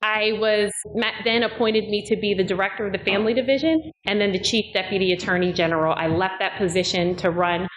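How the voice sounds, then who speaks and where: A middle-aged woman from Delaware, a candidate for attorney general, giving an earnest, measured opening statement about her life and career. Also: mid-range voice, fairly even intonation. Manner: earnest, measured, personal storytelling. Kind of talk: prepared campaign opening statement. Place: fire company hall at a forum. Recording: echoey hall with background noise.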